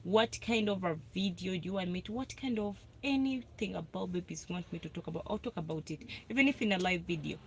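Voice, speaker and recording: A woman's voice: on the low side, fast, hardly any pauses, slight background noise. A young Ugandan woman hosting a casual live video about breastfeeding. She talks to her viewers, answers their comments and repeats herself a lot for emphasis. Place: parked car.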